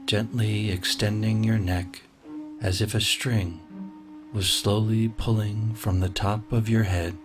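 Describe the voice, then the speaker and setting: A man with a deep, low voice leads a guided sleep meditation. He talks slowly and soothingly, leaving long pauses between his gentle instructions. Soft music plays clearly underneath him. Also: flat delivery.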